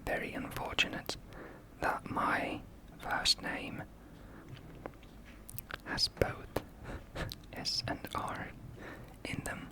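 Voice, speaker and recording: Finnish accent asmr whisper, super slow, soft little laughs here and there. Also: low-quality recording. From Finland. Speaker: ASMR creator.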